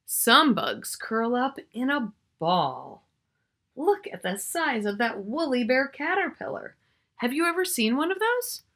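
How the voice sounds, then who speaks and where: A woman's voice: high voice, big pitch swings, a little slow, fairly bright tone. A middle-aged woman reading a picture book aloud to young children, expressively, drawing out key words and chatting to the listener. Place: small furnished room at home, indoors.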